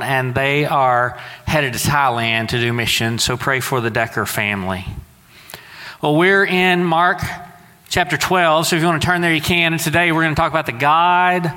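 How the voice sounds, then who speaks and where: Bright-voiced pastor preaching in a reverberant church. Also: male voice, on the high side. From Southeast Texas. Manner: conversational, folksy preaching with asides. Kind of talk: sermon. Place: church sanctuary. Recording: long reverb of a church sanctuary.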